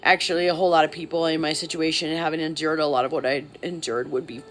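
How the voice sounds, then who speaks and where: A woman's voice: deep voice, flat delivery, quick pace. A woman talking straight to camera in a rambling personal vlog, full of fillers, aggrieved and insistent. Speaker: vlogger.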